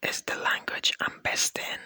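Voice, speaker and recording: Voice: measured pace, no echo. A young university student who speaks English as a non-native language, whispering a rambling, laid-back ASMR diary vlog close to the mic. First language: not English; Persian is a second language. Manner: soft unvoiced whisper, rambling and laid-back with many fillers.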